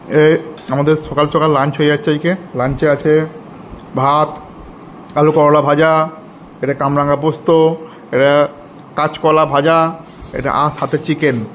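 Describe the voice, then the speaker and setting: A young woman chatting casually for a family vlog and asking questions, recorded on a handheld phone. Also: sits low. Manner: chatty, asks a child questions. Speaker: family vlogger, mother. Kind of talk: casual home vlog chat. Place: in and around a family home. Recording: noisy handheld home recording.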